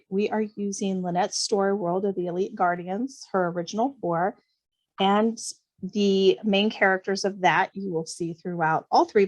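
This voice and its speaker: A woman's voice: mid-range voice, a little mellow. A woman speaking casually as a guest author in an interview.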